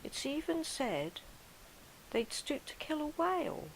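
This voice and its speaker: A woman reading a poem as a wondering, wary mermaid, in a breathy, measured storytelling manner. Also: sits high, sing-song, heavily acted, rough recording. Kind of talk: dramatic poetry reading. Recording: faint background hiss.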